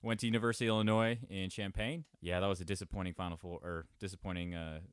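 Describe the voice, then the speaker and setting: A thirty-year-old man co-hosting a podcast in a small home studio, with a smooth, rather low voice. He talks in an easygoing way, joking and chuckling. The sound is dry and close. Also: fairly even intonation, quick pace, no room sound. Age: 30s. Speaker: podcast co-host. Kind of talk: casual podcast chat.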